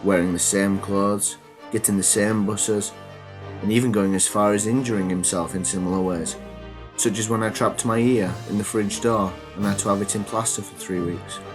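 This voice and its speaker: Teenage boy, podcast host, stuffy cold, lowish voice, deadpan, rambling with ums. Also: normal speed, music in the background. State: congested from a cold. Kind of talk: comedy storytelling and casual chat.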